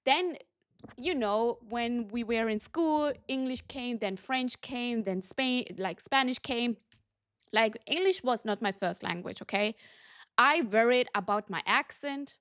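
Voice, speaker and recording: Slow, earnest woman coach. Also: a little high, fairly bright tone. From Germany. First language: Tamil. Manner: earnest, emphatic, repeats for stress. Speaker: business coach. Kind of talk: motivational talk to camera.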